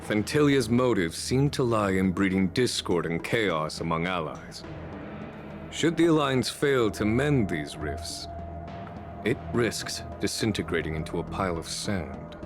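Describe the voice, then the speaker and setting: A composed fantasy game general with a fairly deep voice. He is measured and courteous, yet his intonation moves expressively. Music sits behind the speech. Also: lively intonation. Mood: thoughtful. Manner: measured, diplomatic. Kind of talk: formal scripted game dialogue.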